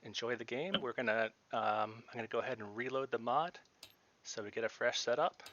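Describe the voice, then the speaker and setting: A man's voice: medium pitch, brisk, fairly bright tone, muffled recording. A man hosting a livestreamed board game tournament, casual, with fillers and restarts. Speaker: tournament host.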